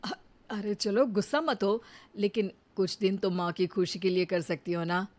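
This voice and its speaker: A woman's voice: mid-range voice, fairly even intonation, normal speed, darkish tone, natural voice. A woman voicing a dutiful young husband and devoted son in a cartoon story, earnest and coaxing. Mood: coaxing, firm.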